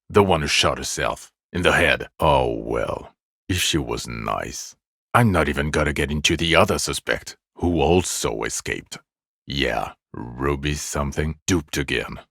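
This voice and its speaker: An actor voicing a bitter, exasperated police detective in a video game, with scornful, biting sarcasm. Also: male voice, very deep voice, gravelly, sharp tone, no echo, studio quality, exaggerated voice.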